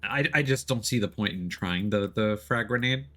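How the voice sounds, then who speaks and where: A man chatting casually as he plays a video game on stream, often pausing and restarting mid-thought. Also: fairly low pitch, fairly bright tone. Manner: chatty, hesitant mid-thought, playful exclamations. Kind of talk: casual gameplay commentary and chat. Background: faint game music.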